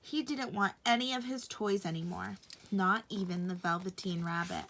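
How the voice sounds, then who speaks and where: A woman narrating a bedtime story for children in an expressive storytelling style. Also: mid-range voice, normal speed, background noise.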